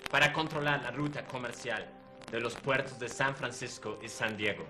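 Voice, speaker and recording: A man playing a proud Irish deserter captain, recounting his past in a measured, earnest way. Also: medium pitch, lightly acted, rough recording. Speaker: guest in character. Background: music underneath.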